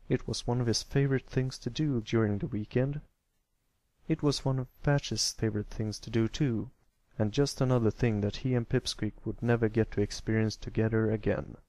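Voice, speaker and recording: A man narrating a story as an amateur audiobook reader, in an expressive scripted reading style. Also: mid-range voice, no room sound.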